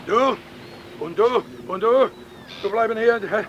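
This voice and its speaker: A man's voice: high-pitched, unhurried, dull audio, crowd noise. A man acting a German ship's mate in a radio drama, curt and commanding, with a German accent. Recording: distant, very noisy radio drama recording.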